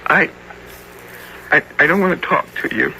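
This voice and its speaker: A man playing an earnest, sensitive sixteen-year-old American boy who loves nature, speaking haltingly and plainly in a radio drama. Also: fairly high pitch, gravelly, bright, forceful tone, slight background noise, heavily acted, poor audio. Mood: hurt. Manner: halting, repeats words, plainspoken and boyish. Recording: old radio recording, music behind.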